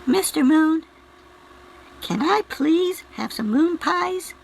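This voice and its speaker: A man doing an eager, excitable young boy. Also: very high-pitched, slow, vocal fry, pitched above the performer's own voice, exaggerated voice, rough recording. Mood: excited shouting. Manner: excitable, exclamatory.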